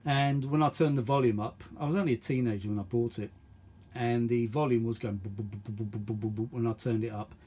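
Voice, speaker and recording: A man's voice: mid-range voice, fairly even intonation, fairly quick, dark tone, husky. A middle-aged man chatting casually and unscripted as host of a vintage audio video, rambling and thinking aloud, often repeating himself. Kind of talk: casual unscripted hands-on chat.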